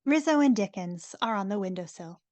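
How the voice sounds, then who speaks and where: A woman's voice: mid-range voice, expressive, fairly quick, a little mellow, dry recording. A woman narrating stage directions for a live dramatic reading in a wry, informal way.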